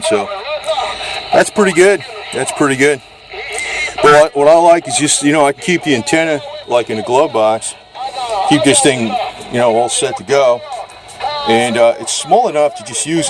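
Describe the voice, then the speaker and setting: A man giving an unscripted product demo in a casual, rambling, informal way with the odd mild swear. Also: medium pitch. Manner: rambling, informal, mildly profane. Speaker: gear reviewer. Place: under a makeshift car garage. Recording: noisy outdoor handheld recording, radio hiss.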